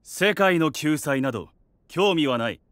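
A man's voice: fairly high pitch, animated, measured pace, a bit bright. An actor voicing an arrogant, indifferent young fantasy king with a haughty, dismissive manner. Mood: cold disdain.

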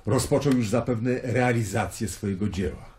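An actor in a radio drama playing a worldly Roman traveler fascinated by prophecy, speaking in a wry, eloquent storytelling manner. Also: male voice, medium pitch, moderate pace, a little mellow, a little hiss or hum, lightly acted. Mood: earnest, persuasive.

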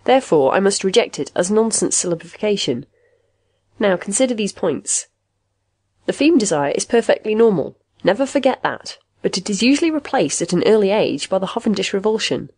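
A woman's voice: medium pitch, big pitch swings, brisk, darkish tone. A woman voicing a soothing, pedantic therapy machine in an audiobook story, speaking in formal clinical terms. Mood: stern.

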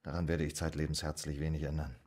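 Radio drama casting: deep-voiced, measured psychoanalyst, serious and troubled, period Vienna. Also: male voice, flat delivery, a little mellow. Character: earnest, troubled male psychoanalyst in 1920s Vienna. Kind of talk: German radio drama acting.

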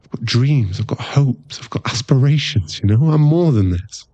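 A man playing a playful, teasing boyfriend in an intimate ASMR roleplay, talking haltingly and acting mock-offended and cajoling. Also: medium pitch, animated, warm tone, no room sound, muffled recording, close to an everyday voice. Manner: teasing, halting, intimate one-sided chat.

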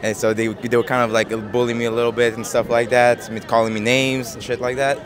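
A man in his twenties from Japan, answering street interview questions in a soft, hesitant way with many fillers and easy laughs, into a handheld mic outdoors. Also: mid-range voice, level tone, quick pace. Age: 20s. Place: busy city square outdoors. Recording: busy street crowd behind.

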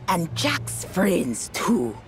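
An actress playing a mysterious, knowing Caribbean woman, telling ominous tales deliberately in a game cutscene. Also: fairly low pitch, huge pitch swings, full character voice. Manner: slow, ominous, deliberate storytelling. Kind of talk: dramatic cinematic game storytelling. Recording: music behind the voice.

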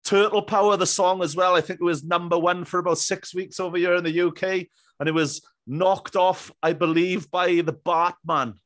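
A man's voice: sits high, fairly even intonation, moderate pace, fairly bright tone. A British man in his thirties hosting a podcast, chatting casually in an enthusiastic, matey way. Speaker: podcast host. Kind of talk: casual interview chat. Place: small room, indoors. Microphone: boom-arm studio microphone and headphones.

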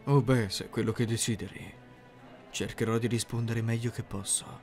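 A man playing an earnest, socially awkward high-school boy in an anime-style roleplay, deadpan, literal and hesitant. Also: normal speed, close to an everyday voice. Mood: pensive, awkward. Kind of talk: scripted anime fan-dub roleplay. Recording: music behind the voice.

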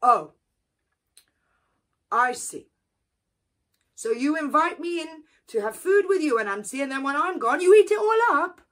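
A woman voicing a meek, polite male turtle who stammers and apologizes, with a husky voice. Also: fairly high pitch, expressive, moderate pace, pitched above the performer's own voice. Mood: hurt.

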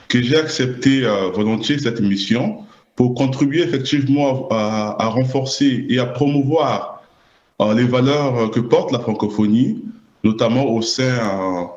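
Halting formal man on a roomy call. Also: fairly low pitch. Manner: halting, formal, many fillers. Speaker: partnerships manager. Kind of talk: video call introduction. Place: small room indoors. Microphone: webcam on a video call. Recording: roomy, dull video call audio.

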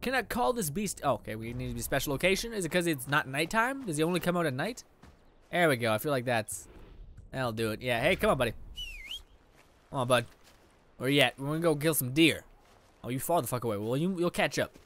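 An eighteen-year-old man giving rambling, casual let's play commentary, his voice a little raspy after eating. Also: a little high, lively intonation, fairly quick, a bit bright, a little hiss or hum. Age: teens. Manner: rambling, chatty, lots of fillers. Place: indoor room.

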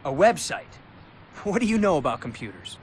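A young skater dude in a video game, brash and excitable. His voice sits high and moves around a lot, with faint noise behind it. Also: brisk. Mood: eager. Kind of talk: video game cutscene acting.

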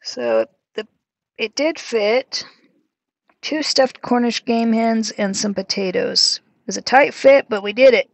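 A woman's voice: a little high, a little slow, no room sound. A woman talking casually through a cooking demonstration in a small van kitchen, narrating each step as she works. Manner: chatty, talks herself through each step. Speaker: van life vlogger.

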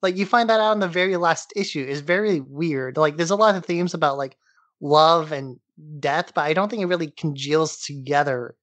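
A man's voice: on the high side, moderate pace, fairly bright tone, dry recording. A man co-hosting a podcast in a casual discussion, talking in loose, rambling explanations. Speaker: podcast co-host.

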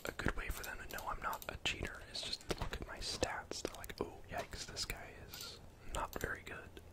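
A young man whispering casual, rambling ASMR commentary over a game, close to the mic in a breathy, unvoiced voice. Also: moderate pace. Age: young adult. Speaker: gaming ASMR host. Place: indoor room at home.

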